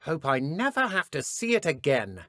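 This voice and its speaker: Sneering British dark witch, wildly swooping delivery. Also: low-pitched, big pitch swings, normal speed, dry recording, studio quality, heavily acted. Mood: weary grumbling. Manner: taunting, theatrical, condescending. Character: cruel, sneering dark witch poacher, smug and mocking. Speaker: video game voice actor. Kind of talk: villain combat and idle barks for a fantasy game.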